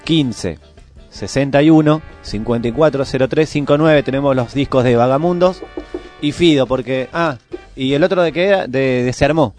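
A man's voice: medium pitch, normal speed, a little mellow, faint music behind. A man with an Argentine accent co-hosting a radio show in a casual, conversational live style. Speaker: radio co-host. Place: radio studio.

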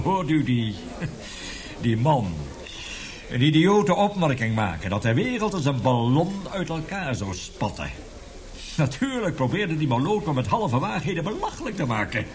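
Embittered, animated professor, higher-voiced man. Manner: emphatic, indignant, lecturing, rhetorical questions. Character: embittered, passionate male professor warning of catastrophe. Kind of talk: radio drama acting.

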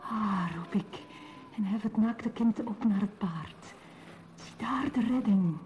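A woman's voice: medium pitch, takes it slowly, a little mellow, slight background noise, close to an everyday voice. An actress playing a grave, dreamy woman in a poetic radio play, speaking solemnly and lyrically in a dull lo-fi recording. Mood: solemn, imploring.

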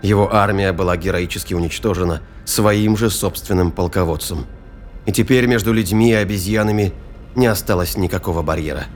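A man narrates an audio drama in a low voice. His delivery is measured and literary, that of a scripted storyteller. Light music plays behind him.

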